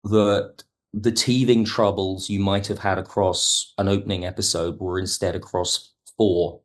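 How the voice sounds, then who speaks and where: A man with a low-pitched voice talks as a podcast guest. He is reflective and analytical, building explanations step by step and softening his points with careful qualifications. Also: level tone, measured pace. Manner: thoughtful, analytical, hedging. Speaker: podcast guest, audio drama writer. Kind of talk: reflective interview talk.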